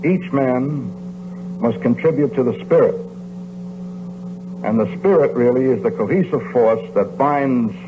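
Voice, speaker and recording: A thin, band-limited archive recording with clear hum carries a man's motivational speech. He speaks like a coach, with emphatic, deliberate phrasing. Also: mid-range voice, phone-quality sound.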